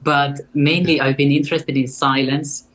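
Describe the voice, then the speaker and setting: A young woman with a Finnish accent and a deep voice, speaking as a guest in an earnest, reflective way. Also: steady tone, normal speed. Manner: earnest and reflective, with light laughs. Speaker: guest artist. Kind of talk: casual interview conversation.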